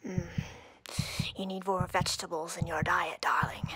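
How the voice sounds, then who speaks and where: A woman playing an imperious, coldly flirtatious drider queen in a roleplay audio, breathy and whispery, speaking haughtily and deliberately with playful menace. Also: on the low side, flat delivery, bright, forceful tone, no echo, full character voice. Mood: predatory, teasing.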